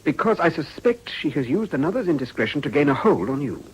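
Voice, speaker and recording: An actor playing a formal, insistent English gentleman politician, grave and insinuating, in a radio drama. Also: on the high side, big pitch swings, quick pace, husky, full character voice, low-quality recording.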